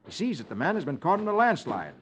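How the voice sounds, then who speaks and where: Animated American man, vintage radio storyteller. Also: a little high, quick pace, noisy background. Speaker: host reading the comics. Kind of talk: 1950s radio storytelling narration for children. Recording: muffled old radio recording, music behind.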